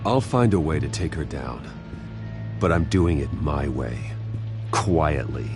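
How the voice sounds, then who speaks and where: Brooding captive, very low voice, terse, guarded, serious, game drama, music behind. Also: male voice, expressive, takes it slowly, full character voice. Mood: grimly determined. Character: brooding, guarded wealthy man confined in an asylum. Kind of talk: video game voice acting.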